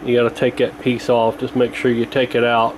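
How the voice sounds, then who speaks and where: A man's voice: medium pitch, completely flat delivery, brisk, slight background noise. A man giving a casual, hands-on repair walkthrough in a folksy, colloquial way, thinking aloud as he goes. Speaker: radio repair hobbyist presenting.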